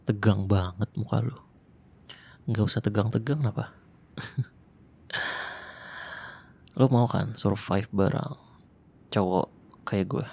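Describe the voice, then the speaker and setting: Man playing a gravelly, protective zombie-apocalypse survivor. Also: lowish voice, steady tone, slow, pauses often, full character voice. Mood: gentle teasing. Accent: Jakarta colloquial. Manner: soft, teasing, reassuring. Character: tough male ex-special-forces zombie survivor, protective, flirty. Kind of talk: ASMR roleplay.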